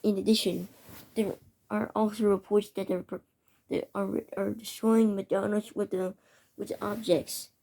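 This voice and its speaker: A woman's voice: mid-range voice, flat delivery, gravelly, warm tone, poor audio. A woman reading a homemade emergency alert broadcast, stumbling and restarting often. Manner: halting, stumbling over words. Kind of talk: amateur mock emergency broadcast narration.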